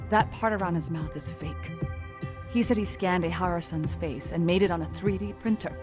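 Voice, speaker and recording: A woman's voice: on the low side, moderate pace, music in the background, close to an everyday voice. A woman playing a sullen, flippant young accomplice in an English-dubbed crime game, with a dismissive, reluctant manner. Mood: smug, flippant.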